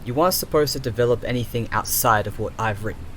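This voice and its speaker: A man plays an exasperated male programmer in an audio drama, talking to the AI he built. His voice sits at a middling male pitch, with a sarcastic, fed-up edge. There is audible background noise behind him. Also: fast talker, a bit bright, lightly acted. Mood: concerned, scolding. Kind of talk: audio roleplay acting.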